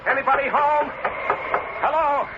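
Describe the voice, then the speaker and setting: An actor playing a henpecked, cautious, grumbling husband in old-time radio thriller style, sarcastic and hesitant. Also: male voice, extremely high pitch, steady tone, moderate pace. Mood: uneasy. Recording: muffled, very noisy old radio recording.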